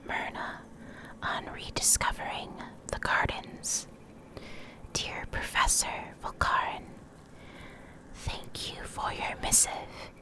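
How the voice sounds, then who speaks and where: Slow, whispering woman. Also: low-quality recording. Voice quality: soft, breathy, unvoiced whisper. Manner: gentle, measured, careful reading. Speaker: ASMR creator, video host and reader. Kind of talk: whispered ASMR reading of game lore. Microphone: close USB condenser microphone.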